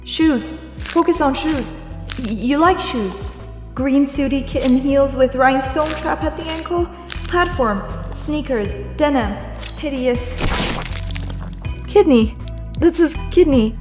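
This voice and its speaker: A woman's voice: high-pitched, slow, pauses often, over music. An actress playing a defiant young woman held captive in a comic dub, challenging and sardonic. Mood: panicked. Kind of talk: scripted comic dub acting.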